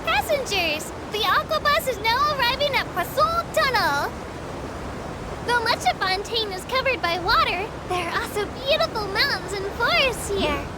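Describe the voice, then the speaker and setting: A woman's voice: very high voice, big pitch swings, moderate pace, heavy background noise. A woman voicing a small childlike creature in a video game, a cheerful, earnest tour guide who makes polite, chirpy scripted announcements. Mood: chatty, informative. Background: game music.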